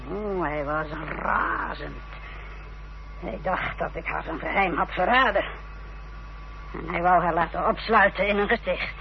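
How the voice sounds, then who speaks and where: Radio drama role: defiant, haughty middle-aged mother, low creaky edges, unhurried theatrical phrasing, muffled vintage recording. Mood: defensive. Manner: haughty, theatrical, deliberate delivery. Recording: dull, noisy old radio recording.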